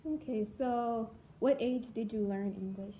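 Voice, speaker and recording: A woman's voice: on the high side, unhurried, mellow, a little hiss or hum, low-quality recording. A young adult woman, a teacher, interviewing her student and prompting her with follow-up questions. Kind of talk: casual interview.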